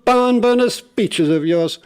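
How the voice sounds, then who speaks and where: A man's voice: sits high, expressive, slow pace, a little mellow, smooth. A man playing a genial patrician American President in live radio theater, with a declamatory delivery. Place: live stage before a studio audience in a church.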